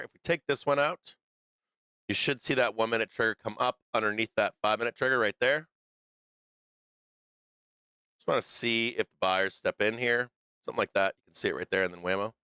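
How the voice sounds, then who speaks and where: A man's voice: lowish voice, sharp tone, clear, resonant tone, no room sound. A man hosting a live stock market stream, losing his voice, thinking aloud through the charts in a loose way with fillers and restarts. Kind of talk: live trading commentary over charts.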